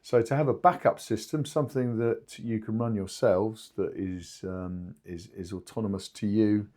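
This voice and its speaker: A man explaining things haltingly in an informal podcast discussion, as a company spokesman. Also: fairly low pitch, dark tone. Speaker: two-way radio company spokesman.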